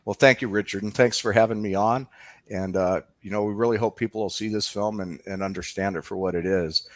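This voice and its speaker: A man's voice: on the low side, fairly even intonation, fairly quick, no echo. A man talking conversationally as a documentary filmmaker being interviewed.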